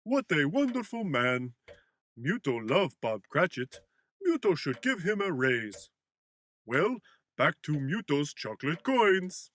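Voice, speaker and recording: A man's voice: on the high side, big pitch swings, slow, fairly bright tone, dry recording, exaggerated voice. A man voicing a naive, cheerful, sweet-toothed creature who speaks of himself in the third person in a comedic audio drama. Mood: cheerful, greedy glee.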